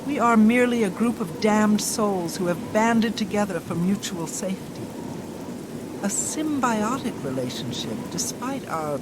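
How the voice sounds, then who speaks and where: An actress playing a damned woman's soul who speaks gravely and reflectively about eternity. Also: mid-range voice, expressive, very noisy, close to an everyday voice. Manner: measured, grave delivery. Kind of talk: game character dialogue. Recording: loud rain and thunder behind.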